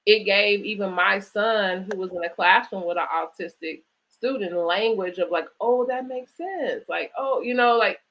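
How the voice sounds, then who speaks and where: A woman's voice: medium pitch, moderate pace, few pauses, muffled recording. A woman hosting a conversational live online interview, speaking in an encouraging, affirming way. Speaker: host interviewing an author.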